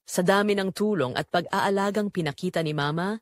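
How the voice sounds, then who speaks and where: A woman's voice: mid-range voice, fairly quick, dry recording, close to an everyday voice. A woman playing a betrayed married daughter, narrating her painful family secret in an emotive, confessional first-person style for an audio drama. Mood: uneasy, suspicious.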